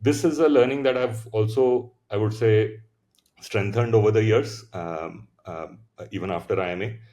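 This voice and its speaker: Indian founder, thirties, very low voice, reflective and halting, casual interview storytelling. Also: male voice, warm tone. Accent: Indian English. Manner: reflective, many fillers and restarts. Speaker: founder, podcast guest.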